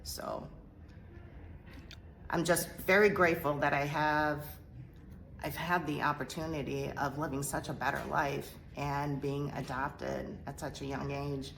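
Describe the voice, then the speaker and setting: A middle-aged woman from Korea speaks slowly in a low, gravelly voice. She talks hesitantly, with pauses and fillers, as she tells her story in an interview. A faint hiss sits under her voice. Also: flat delivery, rough recording. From South Korea. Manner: hesitant, halting, soft-spoken with many fillers. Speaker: interview guest. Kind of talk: personal testimony interview.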